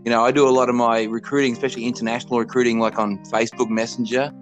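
An Australian man in his fifties talks through his coaching career in an easy, rambling way, backing up and correcting himself as he goes. His voice sits at a middle pitch for a man. Faint music runs underneath. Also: flat delivery, rapid-fire, rough recording. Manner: rambling, self-correcting storyteller. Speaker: college basketball head coach. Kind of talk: casual podcast interview.

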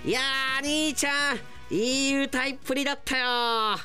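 A man acting in a radio drama as a gruff, friendly izakaya owner with rough, colloquial speech and an encouraging, easygoing manner. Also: very high-pitched, fairly even intonation, measured pace, pushed and bright, soft background music, pitched above the performer's own voice, heavily acted. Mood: cheerful, consoling.

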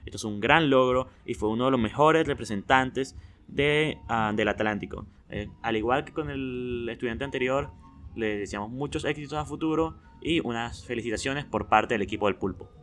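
A young man with an ordinary mid-range voice reads school news announcements for a student podcast. He keeps a plain, steady broadcast delivery. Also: mid-range voice, normal speed, soft background music. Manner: reads news announcements, then a loud energetic intro.

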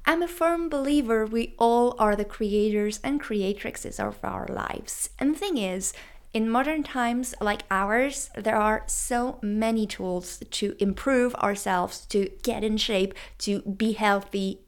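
A woman's voice: medium pitch, slow, clean tone. French-accented woman, podcast host, playful and upbeat, rising questions to the listener, mid-range voice. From France. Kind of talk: scripted solo podcast talk.

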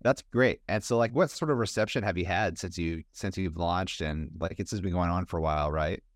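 A man with a deep voice interviews a guest on a podcast. His questions are casual and conversational, and the recording is dry and free of room sound. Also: a little fast, no room sound.

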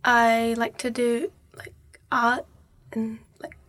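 A woman's voice: a little high, little pitch movement, slow, a bit bright. A nineteen-year-old woman, chatting informally and tentatively in an interview. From New Zealand, born in Nepal. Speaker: podcast guest, university student. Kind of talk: casual interview chat.

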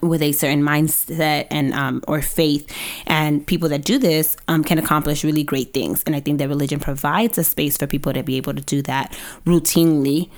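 A woman co-hosting a podcast with her husband, speaking in a measured, careful way as she thinks aloud. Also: low voice, fairly even intonation. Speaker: podcast co-host. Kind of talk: casual podcast chat.

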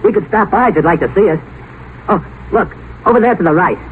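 An actor playing a folksy, plain-spoken, deferential American chauffeur who acts as tour guide in a 1950s radio drama. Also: male voice, high voice, quick pace, lightly acted. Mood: proud, deferential. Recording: narrow-band, noisy vintage radio broadcast.

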